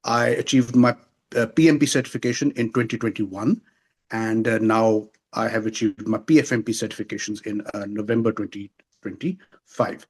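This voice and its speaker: Formal measured man from Pakistan. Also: lowish voice, fairly even intonation, breathy edge. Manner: measured, formal, frequent hesitations. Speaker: interview guest. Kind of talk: webinar interview answers. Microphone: remote video call.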